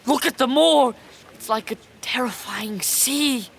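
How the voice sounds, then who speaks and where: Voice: high-pitched, measured pace, creaky, a bit bright, a little hiss or hum, exaggerated voice. A performer playing a lord's frightened young son in a period audio drama, pleading and fearful.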